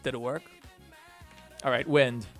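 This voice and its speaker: A man with a New York accent and a mid-range voice streams while he works. He talks in a loose, slangy, animated way, joking and swearing freely. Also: medium pitch, measured pace, soft background music. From Queens, New York. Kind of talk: casual reacting and chat while working.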